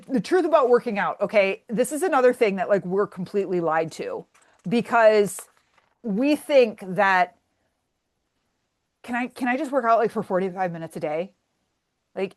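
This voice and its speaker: A woman's voice: medium pitch, lively intonation, moderate pace. A woman, a fitness coach talking casually and bluntly on a webinar, full of fillers and casual swearing.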